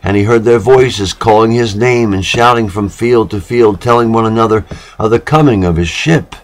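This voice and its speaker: A man giving a solemn literary reading, measured and dramatic, with pauses between short phrases. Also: lowish voice. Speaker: reader of poetic literature.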